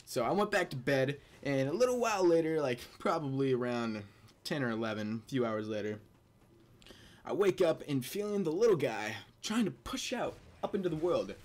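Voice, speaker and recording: Young male host, average pitch, casual comedic storyteller with crude, self-amused delivery. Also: expressive, moderate pace. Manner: crude joking, laughing at own jokes. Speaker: video host telling personal stories.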